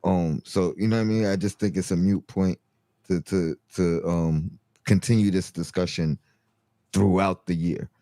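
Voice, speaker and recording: A man's voice: deep voice, fairly even intonation, normal speed, dark tone, no echo. A man giving casual sports analysis, stumbling and restarting often and repeating "you know what I mean".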